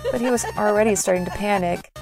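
A woman's voice: medium pitch, fast, music in the background, poor audio. A woman reading scripted story narration as the narrator of an audio drama.

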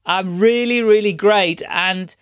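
A man's voice: high-pitched, takes it slowly, clear, resonant tone, no room sound, clean studio sound. A man hosting a business podcast, upbeat and enthusiastic as he asks questions. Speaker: podcast host. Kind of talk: podcast interview.

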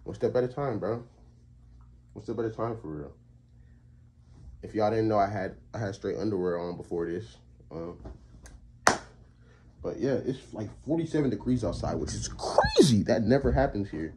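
A man's voice: fairly low pitch, big pitch swings, normal speed, pauses often, a little mellow, slight background noise. An eighteen-year-old man from Texas, chatting casually and rambling to his viewers in a college vlog, talking into a phone camera in a small bedroom. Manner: rambling and slangy, lots of fillers, talks to chat. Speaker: college student vlogger and streamer. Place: small bedroom and bathroom indoors. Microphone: phone camera held at arm's length or propped.

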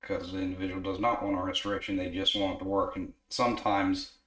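A man with a hoarse voice from a recent illness, casually explaining a radio repair at his workbench. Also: deep voice, fast talker. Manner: relaxed, filler-heavy explaining while working. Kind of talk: casual workshop narration. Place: workbench in a home repair shop.

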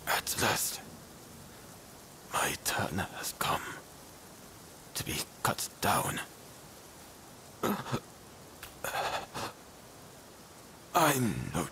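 Gravelly, halting dying swordsman. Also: low voice, bright, forceful tone, a little hiss or hum, full character voice, poor audio. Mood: bitter remorse. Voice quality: breathy, gravelly. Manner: halting, broken phrases. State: fading, labored breath. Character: dying, remorseful killer swordsman, grim and pleading. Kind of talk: video game cutscene acting.

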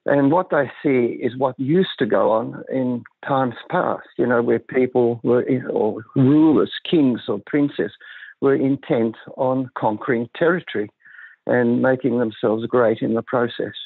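Older man, gravelly husky voice, thoughtful halting delivery, dry close sound, phone-like audio. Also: medium pitch, no echo. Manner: measured, thoughtful, halting with restarts. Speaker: guest psychiatrist. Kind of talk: reflective interview talk. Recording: dry tinny phone-like line.

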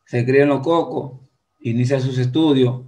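A Dominican man hosts an interview over a video call, his voice sitting at an ordinary middle pitch. He talks in a loose, rambling, conversational way, drifting into personal stories between questions. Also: fairly even intonation, moderate pace, dark tone. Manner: chatty, rambling storyteller. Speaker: host. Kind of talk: casual interview chat. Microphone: video call, earphone mic.

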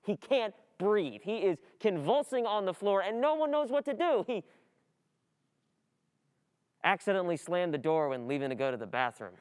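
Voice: mid-range voice, big pitch swings, normal speed, a little mellow, smooth, lightly acted. A performer playing an overwhelmed, distracted student in a dramatic monologue, anxious and frustrated, agitated and repetitive, with thoughts spilling out. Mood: restless, speculating. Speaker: performer in a radio play monologue. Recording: reverberant hall.

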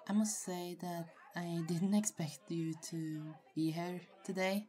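A young woman playing a shy, friendly college student in an ASMR roleplay, speaking in a hesitant, halting, giggly way. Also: lowish voice, fairly even intonation, dark tone, close to an everyday voice. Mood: friendly, flustered. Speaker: voice actor in roleplay audio. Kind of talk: ASMR roleplay, acted casual conversation. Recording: faint crowd chatter behind. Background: party crowd murmur.

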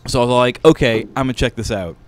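A man's voice: medium pitch, fast. A man telling a story in a casual, comedic podcast style, rambling and wry, close to a studio microphone. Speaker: podcast co-host. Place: small indoor podcast studio. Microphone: close studio microphone with headphones.

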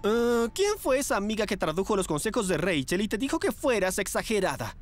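A man's voice: high-pitched, brisk, faint music behind. A man voicing a flamboyant, theatrical young fashion agent and caring mentor in a cartoon dub. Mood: advising, reassuring. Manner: theatrical, expressive. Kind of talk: animated series dub in Spanish.

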